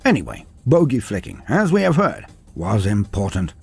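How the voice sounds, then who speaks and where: Wry storybook narrator, breathy man. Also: on the low side, wildly swooping pitch, normal speed. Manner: dry, wry tone with playful sidebars. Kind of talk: storybook narration with humorous asides. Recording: faint music behind narration.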